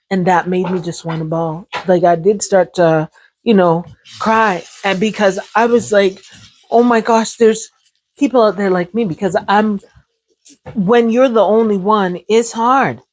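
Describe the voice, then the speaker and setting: A woman speaks straight to her viewers in a loose, chatty way and laughs easily. Her voice sits low and a little hoarse. The sound is close and dry, with no room around it. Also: a little mellow, dry recording. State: voice getting sore and hoarse. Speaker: channel host sharing her brain injury experience. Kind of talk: casual talk to camera.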